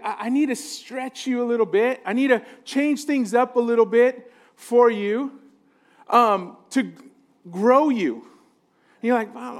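High-voiced man preaching informally. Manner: conversational, jokey, asks the listeners for agreement. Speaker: pastor, guest preacher. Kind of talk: informal sermon to a congregation.

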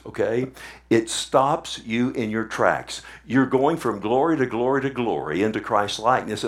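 A man preaching a sermon, animated, often asking the congregation "Amen?" and retelling scripture in his own words. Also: lowish voice. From Texas.

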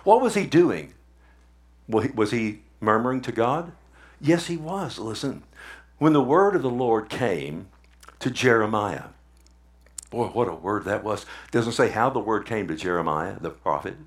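A man preaching a sermon, animated, often asking the congregation "Amen?" and retelling scripture in his own words. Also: fairly low pitch, a little slow. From Texas.

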